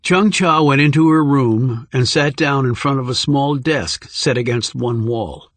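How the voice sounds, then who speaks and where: A man narrates a thriller audiobook in a mid-pitched voice. The delivery is scripted storytelling, recorded dry with no room sound. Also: a little slow, a bit bright, no echo.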